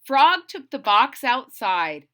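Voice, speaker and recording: A woman with a high, bright voice reads a storybook to children. Her delivery is animated and expressive, and she explains things in simple words as she goes. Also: takes it slowly. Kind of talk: read-aloud narration with explanatory asides to children.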